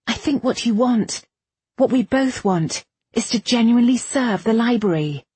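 A woman's voice: a little high, expressive, moderate pace, dark tone, slightly rough, no room sound, voice pushed up. A woman narrator voicing a wounded, stubborn young woman who speaks bluntly and with weary, sardonic insistence. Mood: weary, earnest.

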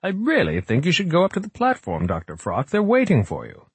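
A man portrays a dismissive younger male colleague in an audiobook. He sounds incredulous and curt, with a husky edge and sharply varied intonation. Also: on the high side, huge pitch swings, a little fast, dry recording, voice pushed up, heavily acted. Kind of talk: audiobook character acting.

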